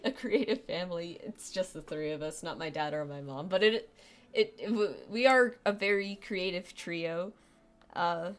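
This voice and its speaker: A young woman with a youthful, girlish voice, chatting casually on a live stream, rambling and self-deprecating with lots of restarts and fillers. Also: mid-range voice.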